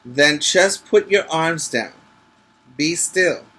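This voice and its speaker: Male reader as children's-book dad, patient, firm, coaxing, instructive, slow measured delivery, bright tone. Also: a little high, animated, full character voice. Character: patient, firm father advising his young son.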